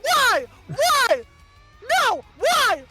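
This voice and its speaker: A woman from Texas with a Michigan accent, chatting excitably as a streamer host. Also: very high voice, drawn-out words, soft background music. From Texas, United States. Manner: excitable, bursts into loud protests. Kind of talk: casual stream chat.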